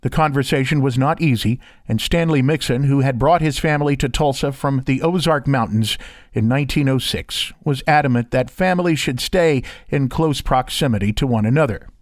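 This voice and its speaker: A man's voice: medium pitch, moderate pace, no room sound. A man doing scripted voiceover narration and demo reading, recorded close on a studio condenser microphone. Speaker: voiceover artist and interviewer.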